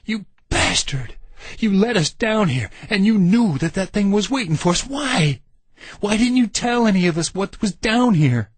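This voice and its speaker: A man voicing a shrill, furious young soldier. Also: lively intonation, a little slow, breathy edge, no room sound, heavily acted. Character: enraged young male soldier, hot-tempered.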